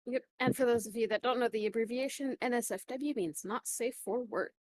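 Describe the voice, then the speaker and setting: A woman's voice: fairly high pitch, fairly quick, low-quality recording. A woman co-hosting a casual podcast, talking in a chatty, blunt way. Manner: chatty, blunt, fan-culture talk. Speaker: podcast co-host.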